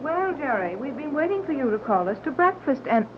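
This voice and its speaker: A composed expedition leader, lively delivery, tinny old radio sound. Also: female voice, high-pitched, brisk, noisy background. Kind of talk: 1930s radio acting. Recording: tinny lo-fi 1930s radio recording.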